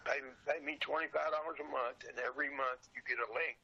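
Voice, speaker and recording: An older man with a very gravelly voice and a bright, forceful edge talks casually as an interview guest. The sound is somewhat dull and lo-fi, and he tends to repeat phrases for emphasis. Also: medium pitch, steady tone, fast. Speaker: podcast guest, old radio show dealer. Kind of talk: casual chat.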